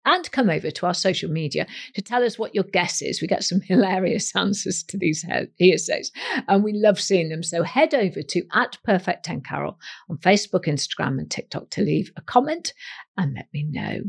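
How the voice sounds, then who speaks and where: Female quiz host, slightly low and husky, playful, direct to the listener. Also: lively intonation, normal speed. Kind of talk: scripted quiz presenting.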